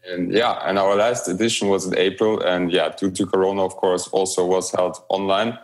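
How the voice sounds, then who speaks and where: A young man from Romania speaks in a very low voice. He talks as an interview guest, relaxed and halting, with fillers and small restarts. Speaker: guest, blockchain company growth lead. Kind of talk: remote interview conversation.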